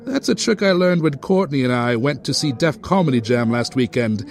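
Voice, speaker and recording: An actor playing a pompous narrator of a comedic radio play, given to florid storytelling and fussy asides. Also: male voice, medium pitch, expressive, moderate pace, close to an everyday voice. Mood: smug musing. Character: pompous, easily sidetracked radio-play narrator, smugly tactless.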